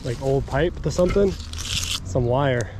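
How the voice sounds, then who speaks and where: A man's voice: medium pitch, slow, noisy background. A man hosting a casual magnet fishing vlog, narrating his finds by a creek with an action camera. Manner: upbeat running commentary on finds. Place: outdoors by a creek under a bridge.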